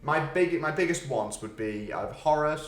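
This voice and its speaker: A man's voice: fairly high pitch, lively intonation, moderate pace, faint music behind. A man talking casually as a podcast co-host, with a blunt, emphatic and sweary way of putting things. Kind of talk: casual chat about films and games. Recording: roomy, echoey sound.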